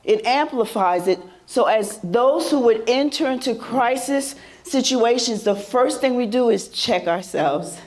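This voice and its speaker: Woman presenter, soothing voice, slightly high, conversational, asks the audience questions. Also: expressive, measured pace, few pauses, darkish tone. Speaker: presenter at a mental health symposium. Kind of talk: interactive workshop presentation. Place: conference room before a live audience.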